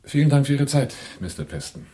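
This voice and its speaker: A wary male conspirator with a husky voice. His intonation moves widely while his manner stays formal and pointed, in radio-drama style. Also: mid-range voice, animated, fairly quick, warm tone. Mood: cool, dismissive. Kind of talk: German radio play acting.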